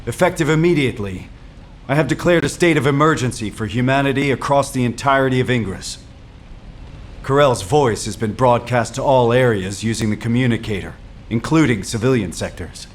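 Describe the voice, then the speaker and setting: A man's voice: mid-range voice, a little hiss or hum, lightly acted. A man playing a stern military commander in a sci-fi game, speaking in a formal, authoritative briefing style. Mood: grave. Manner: authoritative, measured.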